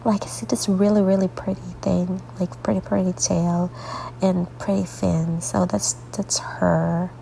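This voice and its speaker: A woman hosts a casual coloring tutorial, her congested voice heavy with gravelly fry and a dark, mellow tone. She rambles softly, drifting into half-whispered asides. She sounds sleepy, talking late into the night. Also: on the low side, flat delivery, measured pace, a little hiss or hum, rough recording. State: congested, stuffy nose. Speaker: artist hosting a coloring tutorial.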